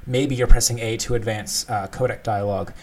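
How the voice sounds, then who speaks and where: A man's voice: mid-range voice, steady tone, fairly quick. A man co-hosting a casual discussion, explaining his thoughts in a thoughtful, conversational way. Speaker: podcast co-host discussing game design.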